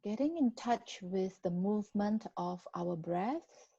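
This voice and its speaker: Very slow, mellow female meditation guide. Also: mid-range voice, lively intonation, husky, no room sound, highs cut off. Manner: gentle, unhurried, with long pauses. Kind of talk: guided body scan meditation.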